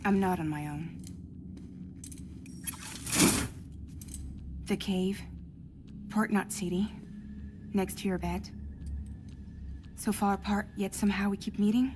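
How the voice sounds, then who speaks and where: A woman playing a guarded, resolute courier in a video game cutscene, speaking in a measured, confiding way. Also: medium pitch, steady tone, noisy background, natural voice. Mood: intense. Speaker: courier company leader. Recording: music behind the voice.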